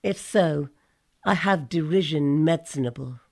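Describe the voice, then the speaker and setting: A woman playing a cool, crafty Greek strategist in a Shakespearean audio drama, measured and articulate in delivery. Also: deep voice. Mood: scheming.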